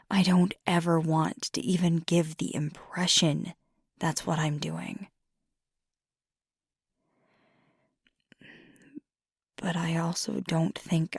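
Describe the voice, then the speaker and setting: A woman voicing a fiery young werewolf girlfriend in intimate ASMR roleplay, husky and often whispery, confiding earnestly and haltingly. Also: fairly low pitch, no echo, clean studio sound, heavily acted. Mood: torn, determined. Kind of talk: intimate ASMR roleplay, soft half-whispered close speech.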